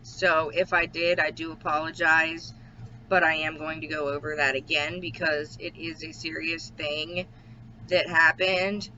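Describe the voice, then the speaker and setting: Man, home video diary, halting speech full of ums, flat delivery, slightly irritated throat. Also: on the high side. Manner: slow, hesitant, many ums. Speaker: vlogger. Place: at home with his dog. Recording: dull lo-fi with faint hiss.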